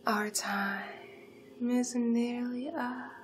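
A woman as a slow whispering vampire. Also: a little high, steady tone, a little hiss or hum. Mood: hypnotic. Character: seductive female vampire feeding on the listener. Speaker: ASMR roleplay performer. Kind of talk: ASMR roleplay. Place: dark room with backdrop.

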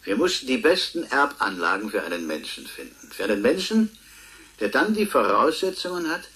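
An actor playing a proud, defensive professor being interviewed in a radio drama, pedantic and pointed, with deliberate emphasis. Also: male voice, medium pitch, very animated, sharp tone. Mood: defensive, insistent. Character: proud, defensive male professor, Nobel-winning scientist.

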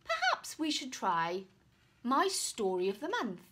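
A woman voicing a keen, helpful male shopkeeper in a storybook, pitching adventures with drawn-out, dramatic salesmanship. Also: wildly swooping pitch, heavy background noise. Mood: keen, persuasive. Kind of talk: storybook character voice.

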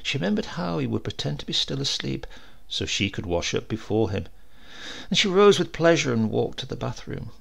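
A man reading a literary supernatural tale aloud in a measured, expressive storytelling manner. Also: medium pitch, vocal fry, slightly rough. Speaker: story reader.

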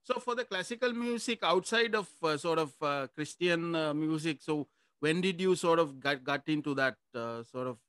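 A man's voice: a little high, normal speed, fairly bright tone, smooth, no room sound, studio quality. A man hosting a video-call interview, asking questions hesitantly and answering with short acknowledgements. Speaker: podcast host. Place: room indoors. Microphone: studio mic in front of face.

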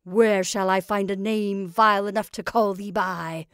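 A woman's voice: mid-range voice, fairly even intonation, unhurried, dry recording, studio quality, close to an everyday voice. A woman voicing a domineering old witch in a storybook, scornful and coaxing, with emphatic, declamatory archaic speech. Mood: threatening.

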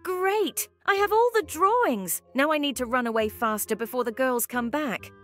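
A woman's voice: high voice, moderate pace, fairly bright tone, faint music behind. A woman voicing a laid-back, curious young male chicken in an amateur cartoon comic dub. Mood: sneaky, nervous.

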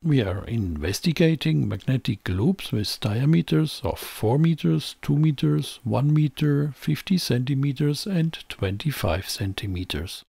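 A man's voice: medium pitch, lively intonation, measured pace, creaky, few pauses, mellow, husky, dry recording. A man presenting a scripted technical explainer in a didactic, emphatic way, as a close voice-over. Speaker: presenter of an electronics channel.

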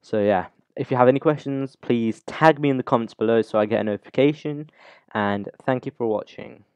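A man with a mid-pitched voice talks through a software tutorial in a casual, unscripted way. He rambles a little as he explains each step. The recording is dry and slightly dull, with a lo-fi sound. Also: brisk, smooth, dry recording. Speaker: YouTube tutorial host.

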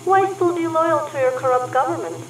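A woman's voice: high-pitched, moderate pace, natural voice. A woman playing a sly, flirtatious ghost spy in an audio drama, with a Russian accent and stilted English. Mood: seductive. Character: seductive, confident Russian female spy ghost. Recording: roomy, distant, faint noise.

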